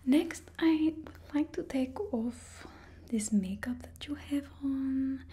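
A young woman in her twenties, voicing a blunt female stylist for supervillains with a put-on Russian accent. She whispers close to the mic in an ASMR roleplay, critical but gentle. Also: high voice, takes it slowly. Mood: hushed. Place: indoors, small studio with painted backdrop. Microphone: close ASMR microphone.

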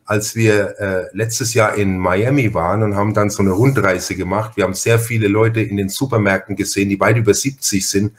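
A man's voice: on the low side, fairly even intonation, fairly quick, few pauses. A man chatting as a podcast host in an effusive, long-winded, personal way. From Franconia, Germany. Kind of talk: conversational interview chat.

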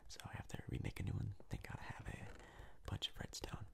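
A man giving soft-spoken whispered ASMR game commentary in a breathy, husky voice, rambling hesitantly and repeating words. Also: fairly quick. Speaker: gaming video host.

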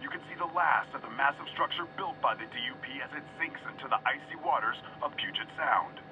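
An actor playing an earnest American TV field reporter in a video game, with measured, urgent, formal delivery. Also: male voice, mid-range voice, dull audio, natural voice. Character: earnest male television news field reporter. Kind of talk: formal live news report. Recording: band-limited TV broadcast, music behind.

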